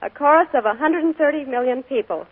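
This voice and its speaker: A woman's voice: sits high, quick pace. A young woman, a guest on a radio show, speaking in a cheerful, modest and earnest way. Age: young adult. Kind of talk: scripted radio interview. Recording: tinny 1940s radio broadcast.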